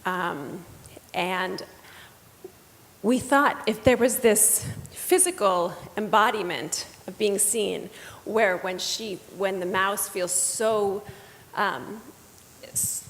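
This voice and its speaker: This is a woman of medium pitch whose voice is partly gone and wavers with a slight tremor. She speaks earnestly and casually from a stage, pausing on "um"s. A long reverb from the big hall rings around her. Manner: earnest, with frequent "um"s. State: partly lost voice, with a vocal tremor. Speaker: reading teacher and children's book author. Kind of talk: casual onstage book talk. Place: stage at a live book event in a large hall.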